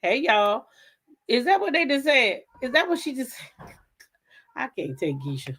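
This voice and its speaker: A woman's voice: sits high, very animated, moderate pace, a bit bright, slightly rough. A woman hosting a casual live reaction stream, talking in a blunt, profane and emphatic way. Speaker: livestream host.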